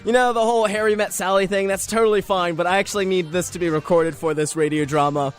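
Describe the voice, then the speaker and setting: A man's voice: high-pitched, steady tone, fast talker. A young man hosting a radio show solo, chatting casually in a rambling, jokey, self-deprecating way over music. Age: young adult. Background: music under the voice.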